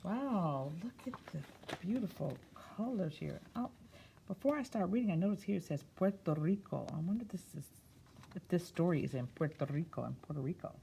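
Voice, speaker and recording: A woman reading a picture book aloud for children, stretching key words expressively and pausing for soft explanatory asides. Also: lowish voice, animated, creaky, a little hiss or hum, low-quality recording. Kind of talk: read-aloud story time with explanatory asides.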